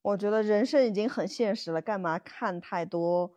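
A young woman with an average-pitched voice chats with friends. Her delivery is informal and conversational, as on a casual podcast. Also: moderate pace, dark tone.

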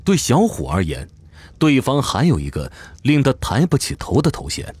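A man narrating an audio drama in an expressive, theatrical storytelling style, reading from a script. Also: medium pitch, normal speed, slightly rough, dry recording.

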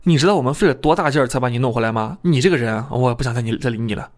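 A man voicing a mild male apprentice in an audiobook, speaking in a low-key way. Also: very fast, natural voice. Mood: miffed. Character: young male apprentice, mild, secretly a ghost hunter.

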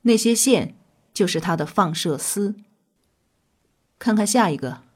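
An actress playing a composed, authoritative scientist in an audio drama, explaining in a measured, instructive way. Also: female voice, mid-range voice, lively intonation, a little mellow, husky. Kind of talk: scripted audio drama dialogue.

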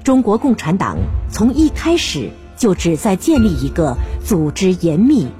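A woman's voice: medium pitch, wildly swooping pitch, unhurried, dark tone, faint music behind. A woman delivering formal, solemn scripted narration for a historical radio drama.

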